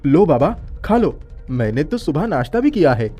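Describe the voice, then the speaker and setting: A performer voicing an earnest, kind-hearted young male college student in a moral story cartoon, polite and expressive. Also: medium pitch, moderate pace, soft background music.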